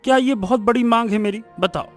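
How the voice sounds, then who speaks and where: A man voicing a weary, exasperated village farmer husband in an animated story, pleading in a melodramatic way. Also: very high-pitched, fast, faint music behind.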